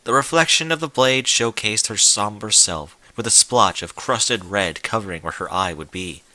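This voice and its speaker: A man's voice: lowish voice, moderate pace, bright, forceful tone, no room sound. A man reading a scripted story aloud as a dramatic narrator, with an emphatic, theatrical delivery. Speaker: fan fiction narrator.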